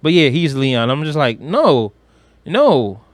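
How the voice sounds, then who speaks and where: A man's voice: on the high side, big pitch swings, slow, no room sound. A man chatting casually as a podcast co-host, slangy and profane, with strong opinions. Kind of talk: casual chat about movies and games.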